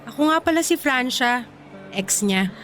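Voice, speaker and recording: High-voiced sassy young Filipina. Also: female voice, animated, measured pace, fairly bright tone, soft background music. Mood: sassy, taunting. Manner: taunting banter with sarcastic edge. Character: sassy, jealous, heartbroken young single mother. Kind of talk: Filipino radio drama acting.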